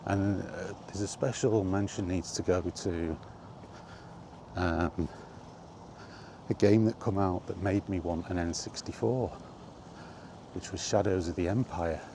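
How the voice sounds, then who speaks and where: A man hosting a casual, rambling chat, thinking aloud with hesitant pauses. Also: low-pitched, steady tone. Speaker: video host reminiscing about games. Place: on the move, walkie talkie vlog. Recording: faint ambient background noise.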